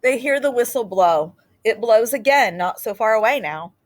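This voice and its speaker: A woman storyteller reading a picture book aloud expressively, in short deliberate phrases with dramatic pauses and the odd aside. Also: on the high side, lively intonation.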